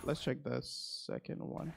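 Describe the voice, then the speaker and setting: A man's voice: measured pace, slight background noise. A man streaming as a game guide host, casually commentating and repeating his phrases as he thinks aloud. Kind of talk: casual gameplay commentary with asides to viewers.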